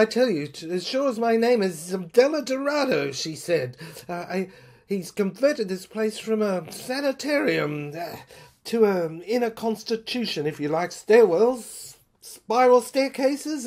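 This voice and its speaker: Audio play lead: haunted, agitated storyteller played by a woman, slow delivery, mid-pitched, halting and stammering over repeated words. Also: a little mellow. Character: haunted, agitated narrator recalling an uncanny asylum. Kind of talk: dramatic monologue in an audio play.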